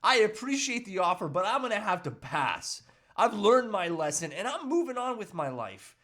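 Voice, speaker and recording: A man acts out a troubled family man in a comedy table read. His voice sits fairly high and swings widely as he pleads, hesitates and earnestly talks himself out of temptation. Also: sing-song, normal speed, a bit bright, heavily acted. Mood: torn, apologetic. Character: troubled husband and father resisting temptation, earnest, self-pitying. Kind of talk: live table read of a movie script.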